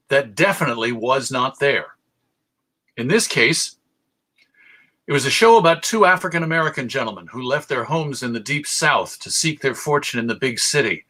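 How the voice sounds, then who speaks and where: Man, higher-pitched voice, bright and forceful, measured lecture delivery, deliberate pauses. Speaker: presenter. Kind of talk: lecture on radio history.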